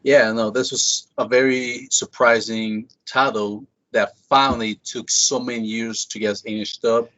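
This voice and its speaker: A man's voice: medium pitch, fairly even intonation, unhurried, few pauses, fairly bright tone, dry recording. Chatty male podcast host, muffled. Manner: chatty, enthusiastic fan. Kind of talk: casual interview chat. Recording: dull lo-fi sound, dry.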